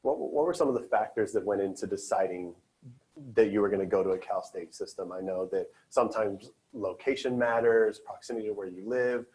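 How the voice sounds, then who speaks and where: A man's voice: fairly low pitch, fairly quick, a little mellow, breathy edge, rough recording. A man moderating a student panel at a conference session, earnest and loose with fillers and restarts.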